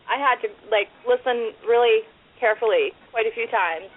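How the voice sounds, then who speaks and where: A woman's voice: a little high, moderate pace. A woman from Massachusetts co-hosting a podcast, sharing friendly, chatty anecdotes. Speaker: podcast co-host. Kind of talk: casual chat. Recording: tinny phone-line sound.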